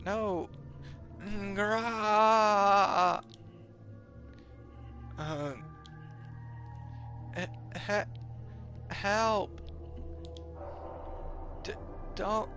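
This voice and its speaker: A man's voice: high voice, very slow, long pauses, sharp tone, faint music behind, pitched above the performer's own voice, exaggerated voice. A man doing a stammering, terrified victim. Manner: stammering, whimpering, panicked. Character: terrified young man begging for life.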